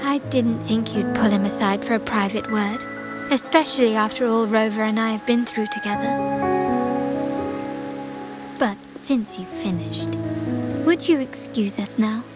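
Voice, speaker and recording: A woman voicing a poised young noblewoman of a crime family in a video game, coolly loyal, with formal, measured speech. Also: on the high side, lightly acted. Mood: poised, teasing. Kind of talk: scripted video game voice acting. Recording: background music under the voice.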